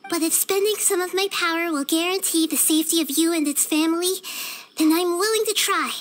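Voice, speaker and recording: A woman voicing a gentle, wise child goddess in a video game, with a soft childlike voice and a thoughtful, earnest manner. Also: extremely high pitch, brisk, slight background noise. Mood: resolute.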